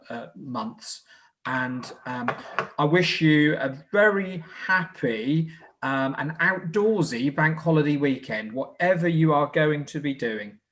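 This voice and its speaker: An English man hosting informally as a scout group leader, with a hesitant, halting way of addressing people. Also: medium pitch, a bit bright. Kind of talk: informal closing address at online presentation evening.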